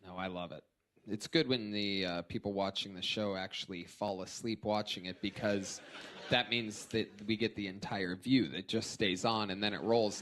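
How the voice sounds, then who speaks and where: A man's voice: on the low side, a bit bright. A man hosting a live comedy show, teasing and needling as he interviews a guest with mock amazement. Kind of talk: live roast banter, interviewing a guest comic.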